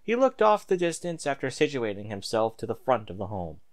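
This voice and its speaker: A man's voice: mid-range voice, very animated, brisk. A man reading a story aloud in a theatrical, emphatic amateur narrating style.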